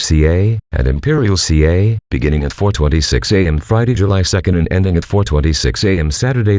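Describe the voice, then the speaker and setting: A man's computerized voice reading a civil danger warning in a measured monotone. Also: rumbling low, no room sound. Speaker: automated alert announcer.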